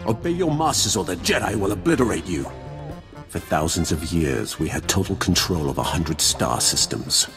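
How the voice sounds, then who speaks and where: An actor playing an ancient, proud Sith lord in a video game, speaking in a formal, solemn and disdainful manner. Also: male voice, low voice, lively intonation, moderate pace, over music. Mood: brooding. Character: ancient, grim, proud Sith lord, three centuries old. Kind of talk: dramatic video game voice acting.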